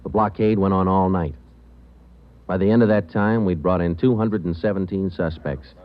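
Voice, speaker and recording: An actor playing a calm police sergeant who narrates the case in a flat, clipped, matter-of-fact way, in 1940s radio drama. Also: male voice, sits low, normal speed, close to an everyday voice. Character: terse male police sergeant, calm and methodical. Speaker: narrator and detective. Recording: muffled 1940s radio broadcast with music.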